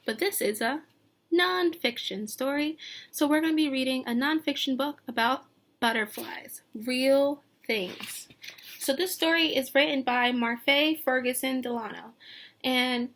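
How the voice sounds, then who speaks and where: High-pitched, slow-paced woman teacher reading aloud. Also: a little hiss or hum. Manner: expressive, sing-song, with questions and pauses. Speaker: teacher reading a picture book aloud to young children. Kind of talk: read-aloud lesson with questions to young listeners.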